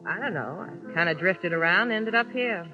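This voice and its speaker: A woman's voice: fairly low pitch, animated, moderate pace, natural voice. An actress from Ohio playing a guarded, world-weary saloon girl in a 1950s radio western. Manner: plain-spoken, drifting and a little world-weary. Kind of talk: 1950s radio drama acting. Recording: dull old radio broadcast with faint music.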